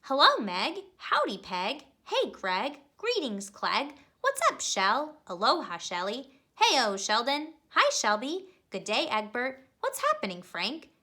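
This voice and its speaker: A woman's voice: high-pitched, wildly swooping pitch, takes it slowly, hardly any pauses, sharp tone, exaggerated voice. A woman reading the lines of a kind, well-meaning male egg in a children's picture book. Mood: cheerful.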